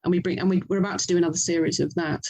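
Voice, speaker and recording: A woman's voice: fairly low pitch, fairly even intonation, racing, a little mellow. A woman with an English accent speaking as an interview guest, effusive and enthusiastic in a conversational style. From England. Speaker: author and audio drama producer, interview guest.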